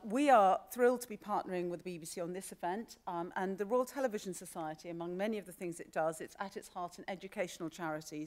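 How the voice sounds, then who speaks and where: Slightly low-voiced woman, halting delivery. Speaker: chief executive of a society. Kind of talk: closing thank-you speech. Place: radio theatre stage.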